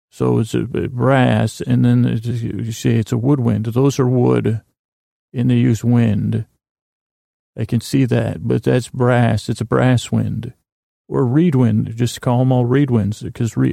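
A man with a heavily creaky, gravelly voice rambles through a solo podcast monologue. His delivery is flat and mellow, full of stammered restarts and digressions. The sound is close and dry. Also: mid-range voice, no room sound. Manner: hesitant, stammering, self-interrupting, digressive. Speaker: podcast host.

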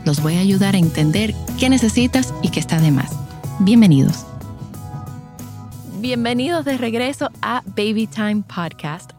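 A woman's voice: medium pitch, expressive, unhurried, a little mellow, soft background music. A woman hosting a podcast, introducing and chatting in a conversational interview style. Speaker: podcast host, birth and postpartum educator.

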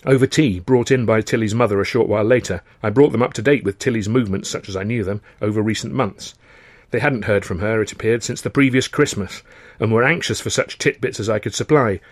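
A man's voice: fairly low pitch, a little fast, no echo. A man narrating a novel as a dramatised audiobook, with wry, wordy expression. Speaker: author reading his own novel.

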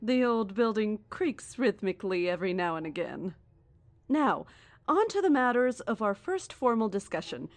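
A woman playing a serene, menacing cult leader in an audio drama, formal, measured and condescending. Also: medium pitch, sing-song, no room sound, lightly acted. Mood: courteous, ominous.

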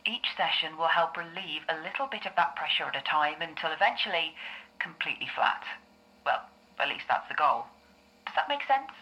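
A woman acting in an audio drama as a composed, encouraging therapist, speaking in a deliberate, soothing, instructive way on a telephone-like tape recording. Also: fairly low pitch, pushed and bright.

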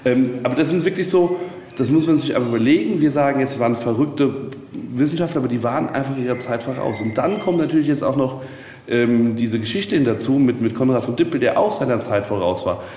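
A man explaining things casually in a reflective, halting way, searching for his words as he goes. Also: medium pitch, warm tone. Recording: echoey reverberant hall, faint noise.

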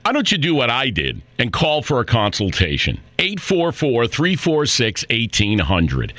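A man's voice: medium pitch, huge pitch swings, unhurried, bright, forceful tone, no echo. An American man giving a conversational advertising testimonial. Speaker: advertising endorser.

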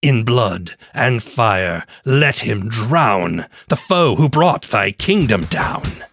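An actor playing a solemn bard who narrates a dark tale in archaic rhyme with a grave, theatrical manner. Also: male voice, medium pitch, expressive, takes it slowly, background noise. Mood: ominous. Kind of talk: scripted verse narration.

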